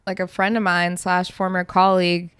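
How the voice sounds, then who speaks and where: Candid low-voiced woman in her twenties. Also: flat delivery, normal speed, a bit bright, smooth, no echo. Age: 20s. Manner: candid, swears freely. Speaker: guest. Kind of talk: casual podcast chat. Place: living room, indoors. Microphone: handheld microphone.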